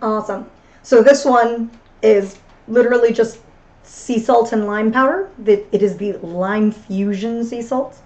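A woman in her thirties, hoarse and husky after losing her voice, co-hosting a casual livestream chat from a small room. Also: fairly high pitch, fairly even intonation, a little slow, a little mellow. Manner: laid-back, chatty. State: hoarse from lost voice. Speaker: co-host.

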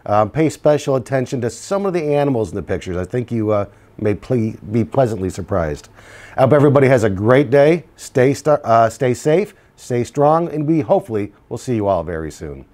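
A middle-aged man reads a picture book aloud to children. His voice sits at an average pitch, and he tells the story deliberately, leaving dramatic pauses. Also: expressive, mellow. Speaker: school administrator reading aloud. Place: small indoor office. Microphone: lapel mic.

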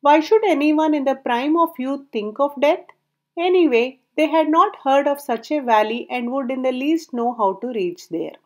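A woman narrating a folk tale aloud in a measured storybook reading, her sentences falling at the ends. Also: high voice.

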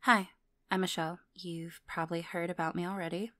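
A woman's voice: fairly low pitch, little pitch movement, fairly quick, bright, forceful tone, slight background noise. A woman playing a composed, guarded ex-wife and mother in an audio roleplay. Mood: polite, guarded.

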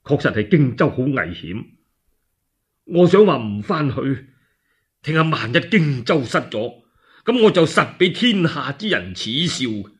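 A male storyteller plays a sorrowful warlord in a radio drama. He sighs and hesitates plaintively, in a slow, husky, swooping voice. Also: mid-range voice, very animated. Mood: anguished. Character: Liu Bei, sorrowful, weepy middle-aged warlord. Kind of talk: one-man Cantonese radio storytelling drama.

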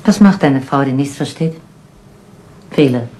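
An actress playing a weary, defensive widow who runs a garage, speaking in halting phrases in a 1970s radio crime play. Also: female voice, extremely low pitch, animated, a little mellow, low-quality recording. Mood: weary, confiding. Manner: halting, short broken phrases. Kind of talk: 1970s German radio crime drama acting. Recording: old radio recording with background noise.

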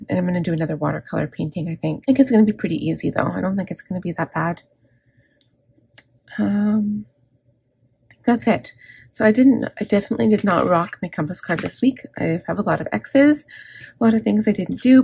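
Woman, middle-aged planner vlogger, gravelly and husky throat, candid rambling chat with self-corrections. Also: lowish voice, brisk, mellow, dry recording. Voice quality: gravelly, scratchy. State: scratchy, gravelly throat from inhaler. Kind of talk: casual plan-with-me chat. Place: indoors at a desk.

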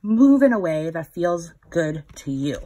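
A woman reading a picture book aloud to young children in a gentle, instructive way, with a slightly husky voice. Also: lowish voice, big pitch swings. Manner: gentle, instructive, pausing to put questions to the child listener. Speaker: children's story reader.

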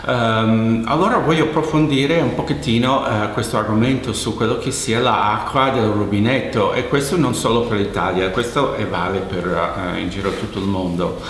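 A middle-aged man, explaining informally to camera as a hairdressing educator, halting and emphatic, often repeating words. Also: on the low side, a little hiss or hum. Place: indoors, hair salon with wash basin. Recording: very echoey, reverberant room.

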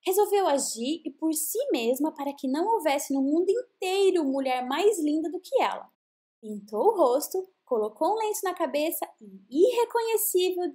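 A Brazilian woman tells a children's fairy tale in a high voice. Her melody rises and falls widely, and she stretches words for expressive emphasis. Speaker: children's storyteller.

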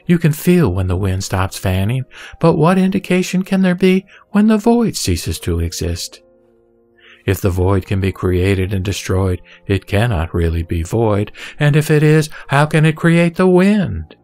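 A man reciting scripted scripture in a measured, didactic manner. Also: medium pitch, sing-song, a little mellow. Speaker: sutra reciter. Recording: background music under the voice. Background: music.

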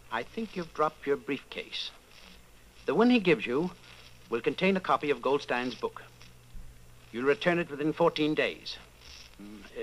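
A man playing a calm, authoritative underground leader, speaking gravely and deliberately in an old-time radio drama. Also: medium pitch, slight background noise, close to an everyday voice, poor audio. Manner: measured, deliberate, solemn.

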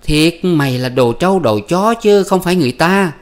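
A man voicing a sly, foul-mouthed peasant wife who scolds and curses in a high, loud voice. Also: animated, normal speed, lightly acted. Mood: scolding, cursing. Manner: shrill scolding and cursing. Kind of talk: storybook reading.

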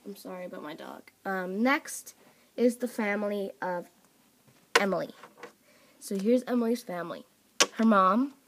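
A woman's voice: medium pitch, background noise. A young woman casually introducing her characters at home, talking in a rambling way with lots of fillers. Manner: rambling, filler-heavy, scolds her dog off-mic. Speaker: video creator. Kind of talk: casual chat. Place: home room at a table of toys.

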